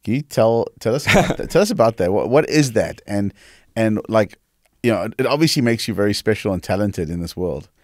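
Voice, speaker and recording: A man with a South African accent hosts a podcast interview in a conversational way. His voice sits on the low side, and the close studio recording is dry. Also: expressive, fairly quick, dry recording. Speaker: podcast host. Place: small indoor podcast studio. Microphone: close studio microphone on arm.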